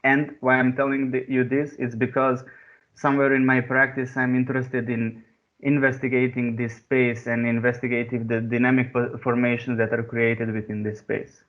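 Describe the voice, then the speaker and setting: A young man who grew up in Serbia speaks in an even, fairly flat voice. He stops often for fillers and restarts. The sound comes through a muffled video call mic. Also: mid-range voice. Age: 20s. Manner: hesitant, many pauses and fillers. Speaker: artist giving a talk. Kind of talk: online talk over video call. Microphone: computer mic on a video call.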